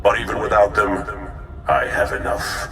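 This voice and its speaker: An actor playing a reborn dark zealot leader in a video game, coldly megalomaniacal, with a grand, deliberate, menacing delivery. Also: male voice, fairly low pitch, expressive, vocal fry, exaggerated voice. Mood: grandiose menace. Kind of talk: video game cutscene acting. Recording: distant, echoing voice over loud music.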